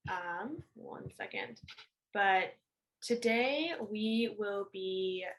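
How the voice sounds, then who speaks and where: A young woman runs an online community event, talking in a chatty, slightly scattered way. She pauses now and then to say sorry for tech trouble. Her pitch is ordinary for a woman. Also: fairly bright tone, poor audio. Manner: chatty, apologetic, a bit scattered. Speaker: lead organizer and event host. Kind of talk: casual event hosting. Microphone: video call.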